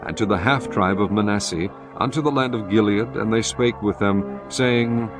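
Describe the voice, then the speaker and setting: A man narrating scripture in a measured, solemn scripted reading. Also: lowish voice. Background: music underneath.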